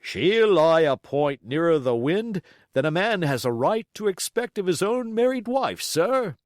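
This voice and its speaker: A man voicing a stern, grudging sea captain for an audiobook, blunt, sharp and emphatic. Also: high voice, big pitch swings, a little slow, dry recording, clean studio sound, voice pushed up. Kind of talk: audiobook character voice.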